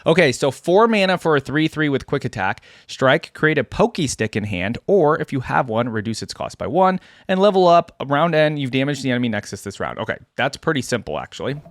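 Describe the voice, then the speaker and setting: A man's voice: medium pitch, big pitch swings, fairly quick, hardly any pauses, no echo, clean studio sound. A man hosting a reaction video, giving casual commentary and musing aloud.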